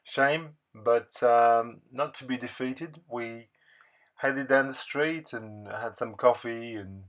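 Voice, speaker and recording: A man narrating a casual vlog voice-over in a halting, unscripted, rambling way. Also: mid-range voice, a bit bright. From France. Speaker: record-collecting video blogger.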